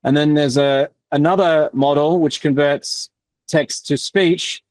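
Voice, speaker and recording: Man explaining tech on a call, halting. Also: a little high, steady tone, a little mellow, no room sound. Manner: halting, many fillers and restarts. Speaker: workshop presenter. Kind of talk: casual technical explanation.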